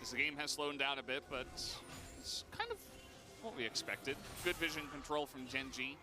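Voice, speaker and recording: A man's voice: mid-range voice, sing-song, normal speed. A man doing casual, joking live commentary on an esports match as one of the casters. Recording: music behind the voice. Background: music.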